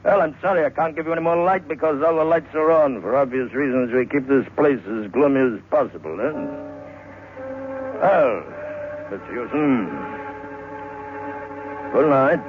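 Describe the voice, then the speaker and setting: A man's voice: medium pitch, normal speed, pauses often, narrow, tinny audio, pitched above the performer's own voice, natural voice. A man playing a polite, faintly teasing waxworks manager in an old radio horror drama. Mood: wry, polite. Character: wry, courteous male waxworks manager, teasing and unhurried. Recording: thin, band-limited vintage radio recording with music.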